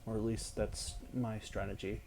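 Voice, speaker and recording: Boyfriend role, male actor: dark, relaxed tone, gentle and encouraging gaming coach, casual ASMR intimacy, slight background noise. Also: fairly low pitch, normal speed, creaky. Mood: relaxed explaining. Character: relaxed, encouraging boyfriend coaching his partner at a video game.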